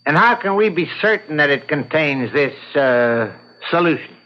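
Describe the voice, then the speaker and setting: An actor playing a skeptical, combative prosecutor in an old-time radio drama, formal and challenging. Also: male voice, on the high side, animated, normal speed, close to an everyday voice. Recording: dull vintage radio broadcast recording.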